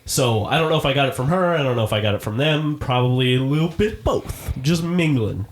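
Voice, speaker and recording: A man with a congested, stuffed-up voice rambles through a story on a casual podcast. He swears freely and tells things in loose, meandering runs. His voice sits at a middling pitch, with a faint hiss behind it. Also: animated, brisk. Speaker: podcast co-host. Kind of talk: casual chat.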